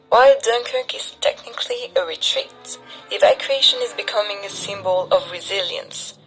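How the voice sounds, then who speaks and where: A woman's voice: mid-range voice, a bit bright, poor audio. A woman gives scripted documentary narration as a newsreel reader. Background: background music.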